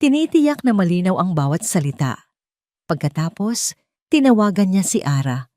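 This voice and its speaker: Animated female narrator, slightly low voice. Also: normal speed, no echo, studio quality. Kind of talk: dramatic scripted story narration.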